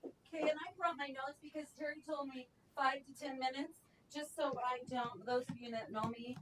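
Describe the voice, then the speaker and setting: A woman's voice: high voice, fairly even intonation, moderate pace, fairly bright tone. A woman presenting to a board in a conference room, chatty and self-deprecating, laughing at her own nerves. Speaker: guest presenter. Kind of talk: informal talk to a board. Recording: distant mic with clear noise.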